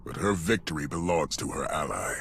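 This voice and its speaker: An actor playing a stern, resolute supreme military commander in a video game, grave and authoritative in delivery. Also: male voice, rumbling low, animated, fairly quick, creaky, fairly bright tone, slight background noise, full character voice. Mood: grim, decisive. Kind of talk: video game cutscene acting.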